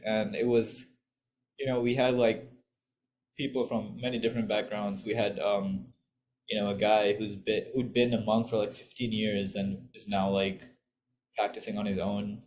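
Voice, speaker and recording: A man's voice: fairly low pitch, darkish tone, slight background noise. A young man from Sri Lanka, a student telling a story casually and eagerly, full of fillers. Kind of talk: casual talk in an online info session.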